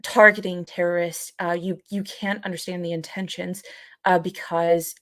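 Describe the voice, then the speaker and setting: A woman co-hosting a podcast in casual conversation, speaking in a halting way with frequent pauses. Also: lowish voice, little pitch movement, a bit bright, dry recording. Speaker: podcast co-host.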